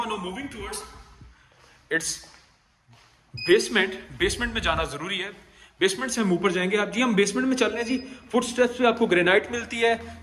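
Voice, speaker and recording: A man with a high voice and a Pakistani Urdu accent walks through an empty house, describing each room in an upbeat salesman's patter. His voice bounces off bare tiled walls, picked up by a handheld camera mic as he moves. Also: a bit bright. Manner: upbeat salesman patter mixing English phrases. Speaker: property tour host. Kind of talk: walkthrough house tour narration. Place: empty house, large tiled rooms indoors. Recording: echoey empty rooms.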